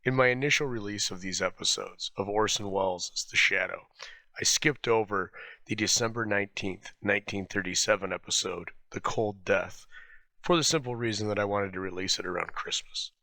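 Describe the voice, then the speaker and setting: A man's voice: lowish voice, moderate pace, sharp tone, dry recording. A man hosting, reading a scripted introduction. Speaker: host introducing an old radio episode.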